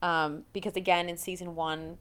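A woman co-hosting a podcast, casually recapping a TV show in an animated, conversational way. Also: on the low side, normal speed. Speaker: podcast co-host.

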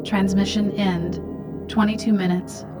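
A woman playing a cold satellite voice in a radio play, reciting transmissions in a measured, detached way. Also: medium pitch, flat delivery, over loud music, close to an everyday voice. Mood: impassive, ominous.

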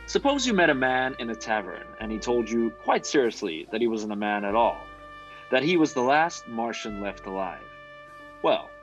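A man's voice: medium pitch, fairly bright tone, low-quality recording. An American man reading a scripted announcement in the style of a 1950s radio announcer. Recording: vintage radio broadcast with music.

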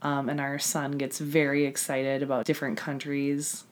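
Woman, 30s, podcast co-host, husky from a cold, very low-pitched, wry and dry, laughs a lot. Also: completely flat delivery, normal speed. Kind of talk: casual chat.

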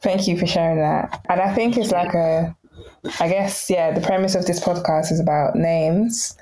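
A woman's voice: lowish voice, normal speed, dark tone, rough recording. A woman hosting a podcast, welcoming her guest and asking questions in a friendly, casual way. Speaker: podcast host. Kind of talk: casual interview chat.

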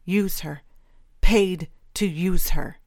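An angry, unrestrained girl, voiced by a woman narrator in a fantasy audiobook. She is scornful and cold, biting out her retorts in a low, dry voice. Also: slow pace, a little mellow, no room sound. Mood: seething.

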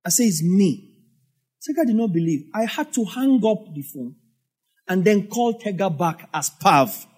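A man's voice: high voice, lively intonation, a little slow, darkish tone, slightly rough. A man with a Nigerian English accent preaching a sermon as a pastor, telling the story in a conversational way with asides.

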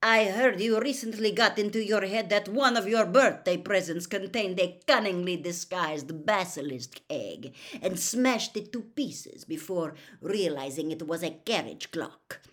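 A woman's voice: mid-range voice, sharp tone, pitched above the performer's own voice. A woman voicing a cold, disdainful male headmaster with haughty, mocking delivery in a storybook reading.

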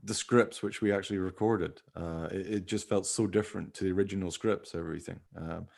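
A man's voice: low voice, steady tone, a little fast, vocal fry, darkish tone. A man in casual, informal conversation, talking in a rambling way as a podcast host. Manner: rambling, informal, often hedging with "you know". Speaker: podcast host, radio play writer. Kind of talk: casual chat.

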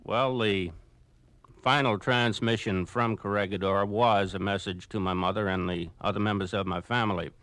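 A man's voice: lowish voice, steady tone, normal speed, fairly bright tone, dry recording. A man from Brooklyn answering as a guest in a 1940s radio interview, in formal, precise, prepared-sounding sentences. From Brooklyn, New York. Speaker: interview guest, former prisoner of war.

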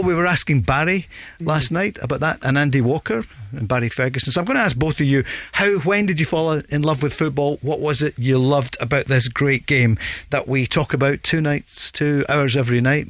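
A man hosting a football radio show, chatty and teasing with quick interjections. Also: on the high side, a bit bright, no echo. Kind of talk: casual radio chat.

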